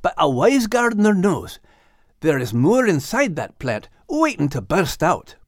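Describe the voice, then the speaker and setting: A man's voice: high-pitched, wildly swooping pitch, a little slow, no echo, clean studio sound, pitched above the performer's own voice, full character voice. A man voicing a plain-spoken, kindly male dog with a Scottish accent and a folksy manner, as in an audiobook. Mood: gentle, consoling. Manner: folksy, blunt. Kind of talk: audiobook character acting.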